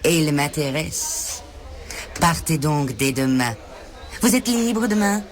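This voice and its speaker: Bossy calculating business villainess, game cutscene, theatrical and really unhurried. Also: female voice, sits low, animated. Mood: enticing, calculating. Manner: commanding, deliberate, theatrical. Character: imperious, calculating businesswoman villain, coolly seductive. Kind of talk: video game cutscene dub acting.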